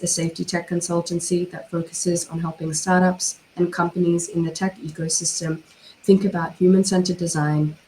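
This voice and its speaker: A hoarse woman, her voice worn down by a cold, delivers a measured, formal presentation over a video call. Her pitch sits low and stays flat, and a faint hiss runs under the line. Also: rough recording. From Australia. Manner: measured, formal, with occasional fillers. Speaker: panel speaker, cyber criminologist. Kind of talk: formal prepared online presentation.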